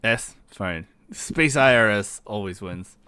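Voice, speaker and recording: A man's voice: lowish voice, lively intonation, slow pace, pushed and bright, clean tone, no room sound. A man hosting a casual gaming tier-list commentary, explaining things in a chatty, rambling way. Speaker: host of a tier-list video.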